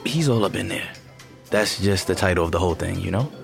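An eager, placating man making a pitch in an audio drama. His voice is fairly low, with music underneath. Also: lively intonation, a little fast, vocal fry, full character voice. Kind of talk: audio fiction drama acting.

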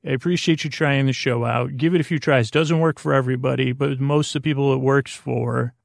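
A man with a creaky, lulling voice hosting a bedtime podcast, meandering haltingly and interrupting himself as he drifts between thoughts. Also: medium pitch, fairly even intonation, no room sound, studio quality. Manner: halting, digressive, self-interrupting. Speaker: host of a bedtime sleep podcast. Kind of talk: rambling, meandering bedtime monologue.

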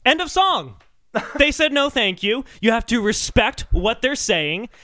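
A man co-hosting a comedic podcast, bantering in an animated, mock-argumentative way. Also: extremely high pitch, unhurried, loud music behind the voice.

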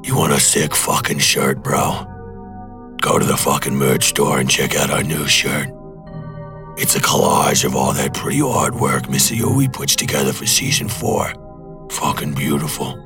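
Man putting on a very deep, gravelly menacing tough guy. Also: heavily acted. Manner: threatening, slangy, pushy. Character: menacing, foul-mouthed tough guy hawking merchandise. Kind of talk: aggressive comic podcast promo.

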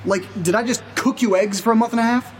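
A man's voice: very high voice, fast, slight background noise. A man playing an anxious, sarcastic friend in a naturalistic audio drama, exasperated and casual. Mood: uneasy, sarcastic.

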